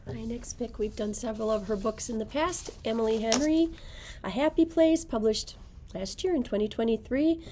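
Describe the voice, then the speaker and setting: A woman's voice: fairly high pitch, normal speed, background noise. A middle-aged woman librarian presenting book picks, reading blurbs aloud in a library room. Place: indoors, library room with bookshelves.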